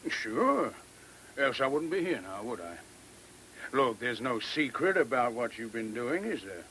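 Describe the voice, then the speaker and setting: Cryptic retired major in an old radio play, knowing, blunt, faint hiss. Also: male voice, mid-range voice, very animated, fairly bright tone. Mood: blunt, insistent. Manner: blunt, knowing, hesitant little pauses. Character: self-assured, cryptic male retired army major. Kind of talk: mid-century radio drama acting.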